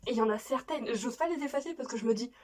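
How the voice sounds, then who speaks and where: Young French woman vlogger, rapid and self-mocking chat from her room, bright-ish higher voice. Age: young adult. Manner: chatty, self-mocking, frequent laughs and restarts. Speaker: YouTuber. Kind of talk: casual vlog chat. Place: bedroom at home.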